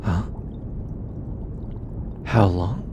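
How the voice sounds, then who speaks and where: An earnest, self-doubting alien scientist played by a man in an intimate audio drama. He speaks in a lowish voice at an unhurried pace, hesitant and easily flustered. A noisy rumble sits behind the recording. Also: lo-fi sound. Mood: vulnerable, anxious. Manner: hesitant, halting, stammering, sighing. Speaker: voice actor. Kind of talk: scripted audio roleplay, intimate one-sided dialogue. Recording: clearly noisy, low rumbling background.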